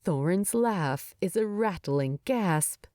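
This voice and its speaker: Expressive, unhurried woman storyteller. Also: on the low side, dry recording, clean studio sound. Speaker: fan fiction narrator. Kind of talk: expressive scripted story narration.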